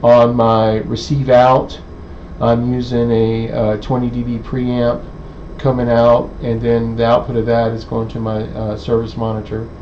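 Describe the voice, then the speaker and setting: A man giving an unscripted technical demonstration in a halting, hesitant way, thinking aloud as he explains. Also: mid-range voice, monotone, darkish tone. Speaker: presenter of an electronics how-to video. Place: indoor electronics workbench. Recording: dull lo-fi audio with clear background noise.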